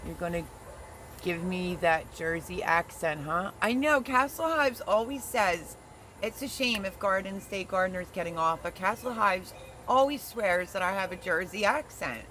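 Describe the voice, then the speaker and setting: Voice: medium pitch, animated, moderate pace, pushed and bright, a little hiss or hum, rough recording. A gardening livestream host chatting casually and teasing viewers while reading their comments aloud.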